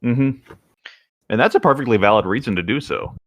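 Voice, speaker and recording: A man's voice: mid-range voice, animated, fairly quick, no room sound. A man on a casual podcast, chatting and reading aloud. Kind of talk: casual chat and fan fiction reading.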